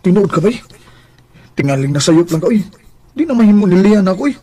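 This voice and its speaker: A man's voice: high voice, big pitch swings, fairly quick, mellow, dry recording. A man playing an earnest, devoted young boyfriend in a radio drama, with a Cebuano Filipino accent and a pleading manner. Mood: worried.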